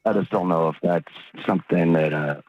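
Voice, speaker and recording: Male radio caller, low-pitched, halting and hedging, slightly lo-fi call audio. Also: no room sound. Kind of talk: hesitant casual phone talk. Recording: dull, lo-fi phone call.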